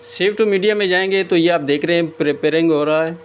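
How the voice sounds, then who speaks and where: A man giving a casual step-by-step screen tutorial as host, often pausing hesitantly mid-sentence. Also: a little high. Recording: clear background noise, room ambience.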